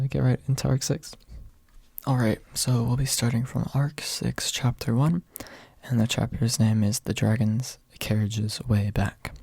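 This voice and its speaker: Hushed, creaky-voiced young man reading aloud. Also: medium pitch, no echo. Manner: soft-spoken, hushed late at night. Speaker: amateur audiobook reader. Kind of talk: ASMR, quiet storybook narration. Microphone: close USB microphone without pop filter.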